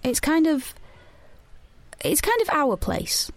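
A woman's voice: sits high, very animated, slow pace, exaggerated voice. An actress playing a guarded, conflicted teenage girl in an audio drama, earnest and defensive. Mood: confiding. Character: guarded, conflicted teenage girl protagonist narrating her story. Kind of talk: audio drama dialogue and first-person narration. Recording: background noise, outdoor ambience.

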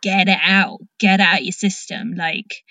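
A woman's voice: lowish voice, fairly even intonation, unhurried, bright, forceful tone, no room sound. A woman hosting a casual conversation, speaking in an informal, supportive way as she interviews a guest. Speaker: host, interviewer.

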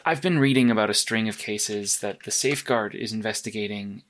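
An actor playing a nervous, earnest superhero investigator in an audio drama, speaking in a halting, analytical way. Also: male voice, on the low side, fairly bright tone, close to an everyday voice. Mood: earnest, absorbed. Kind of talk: naturalistic audio drama monologue and phone calls.

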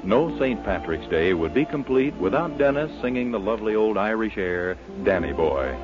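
A man's voice: lowish voice, expressive, moderate pace, highs cut off. An American man reading scripted announcements as a 1940s radio announcer. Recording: vintage 1940s radio, band-limited, music behind.

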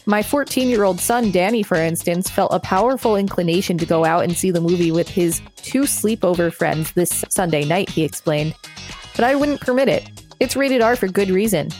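A woman's voice: lowish voice, normal speed, a little mellow, soft background music. A woman co-hosting a podcast, reading an article aloud and chatting casually about it. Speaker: podcast co-host.

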